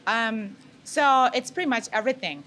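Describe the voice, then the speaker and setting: A woman's voice: a little high, expressive, moderate pace, bright, forceful tone. A woman from Thailand with a Thai accent, chatting casually and candidly as an interview guest. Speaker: interview guest, food maker. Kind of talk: casual chat. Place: indoor farm among herbs and flowers. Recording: clear background noise.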